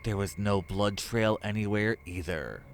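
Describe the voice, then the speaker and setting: A man with a fairly low voice reads a scripted horror story as narrator. Music plays behind him. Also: measured pace.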